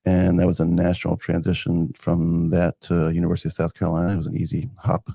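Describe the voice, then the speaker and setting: A man's voice: low voice, completely flat delivery, quick pace, creaky, mellow, no echo, clean studio sound. A man in his fifties from northern New Jersey, a guest telling his life story in a loose, rambling way full of fillers and restarts. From northern New Jersey, United States. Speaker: guest, community foundation director. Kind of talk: casual conversational storytelling.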